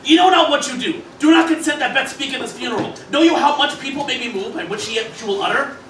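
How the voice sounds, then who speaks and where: A man's voice: very high-pitched, quick pace, a bit bright. A man playing a brash, scheming, foul-mouthed cartoon grandfather in an amateur parody radio play, mixing blunt talk with theatrical speech. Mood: irritated. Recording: echoey room, distant mic, background noise.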